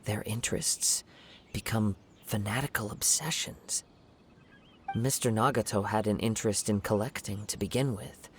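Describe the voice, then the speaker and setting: An actor playing a calm, polite young wandering swordsman in a video game, measured and contemplative. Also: fairly low pitch, a little hiss or hum, close to an everyday voice. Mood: grave, reasoning. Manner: measured, contemplative, formal wording. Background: music.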